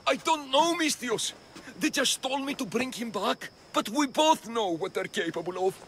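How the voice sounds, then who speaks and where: An actor playing a nervous, self-serving male henchman in a video game cutscene, halting and bargaining. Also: very high-pitched, expressive, a bit bright, slight background noise. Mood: nervous, evasive.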